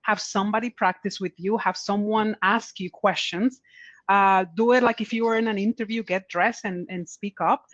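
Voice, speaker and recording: A woman's voice: medium pitch, steady tone, moderate pace, no echo, highs cut off. A woman panelist giving career advice in a conference Q&A, earnest and encouraging, often repeating her key points. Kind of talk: conference Q&A answers.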